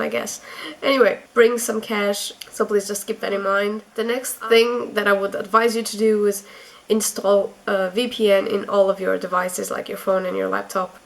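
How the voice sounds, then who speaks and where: A woman's voice: medium pitch, gravelly. A young woman from Portugal with a Portuguese accent, giving chatty advice in a casual vlog, full of fillers. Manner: chatty, many fillers and restarts. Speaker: vlogger.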